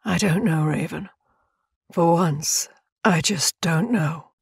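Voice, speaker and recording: An actress playing an old blind seer in an audio drama, grave, cryptic and troubled. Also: female voice, on the low side, takes it slowly, gravelly, husky, heavily acted. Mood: troubled, uncertain. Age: older.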